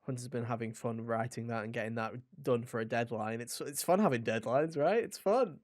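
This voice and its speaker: A man's voice: mid-range voice, fairly quick, darkish tone, no echo. A man hosting a podcast in a casual, chatty way, with a jokey, mock-dramatic manner, close to the microphone. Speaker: podcast host.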